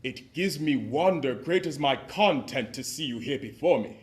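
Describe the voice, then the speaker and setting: A man's voice: medium pitch, lively intonation, moderate pace, natural voice. A man playing an ardent stage actor. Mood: declaiming. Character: passionate male stage actor rehearsing a romantic lead. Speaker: actor in a dramatized rehearsal. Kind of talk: period drama acting, reciting verse.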